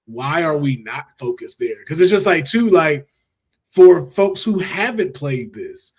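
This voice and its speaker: A man hosting a casual pop culture discussion in a colloquial, emphatic, animated way. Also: a little high, a little slow, breathy edge. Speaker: podcast host.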